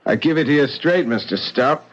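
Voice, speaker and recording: An actor playing an eccentric, fatalistic painter with a death wish in a radio mystery, with a theatrical, mocking manner. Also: male voice, mid-range voice, animated, moderate pace, lightly acted. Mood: morbid, intense. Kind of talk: radio mystery drama acting. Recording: muffled old radio broadcast.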